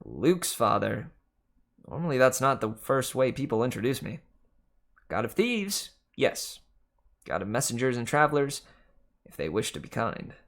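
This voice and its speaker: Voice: mid-range voice, very animated, measured pace, pauses often. A reader voicing a mischievous, worldly male god, chatty and teasing, in a storybook reading. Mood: wry, probing.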